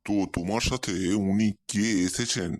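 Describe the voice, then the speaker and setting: A man's voice: lowish voice, moderate pace, loud music behind the voice, lightly acted. Man doing a nosy genial older uncle, comedy cartoon, super easily impressed. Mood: welcoming. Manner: approving, inquisitive, repeats exclamations. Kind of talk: comic cartoon dialogue.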